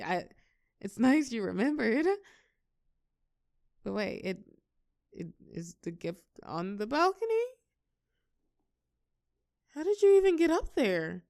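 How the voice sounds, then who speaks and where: Woman acting a lively, bashful young birthday girl. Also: fairly high pitch, no room sound, studio quality. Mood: curious, touched. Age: young adult. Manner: bashful, halting, giggly, expressive. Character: shy, awkward wallflower birthday girl, young adult, warmly teasing. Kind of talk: scripted audio roleplay, natural intimate conversational acting.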